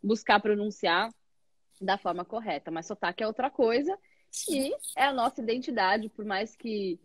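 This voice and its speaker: A woman's voice: mid-range voice, normal speed, no room sound. A Brazilian woman with a Brazilian Portuguese accent, an English teacher chatting animatedly with a guest and using lots of tag questions. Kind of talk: casual conversation.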